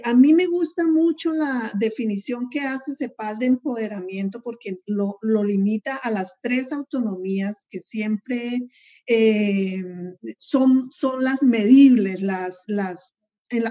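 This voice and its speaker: Colombian woman, mellow panel answer. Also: on the high side, slow pace. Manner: thinking aloud, many fillers and repeated words. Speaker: webinar panelist. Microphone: video call. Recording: dull lo-fi online call audio.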